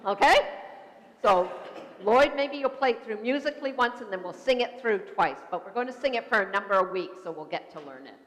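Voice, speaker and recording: A woman minister gives a simple, gentle children's talk during a worship service and leads the congregation in call and response. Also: mid-range voice, expressive, a little fast. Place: church sanctuary. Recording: echoey church sanctuary.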